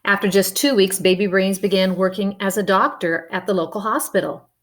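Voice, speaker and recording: A woman reading a children's picture book aloud, expressive and adding playful spoken asides. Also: mid-range voice, fairly quick. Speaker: storybook reader.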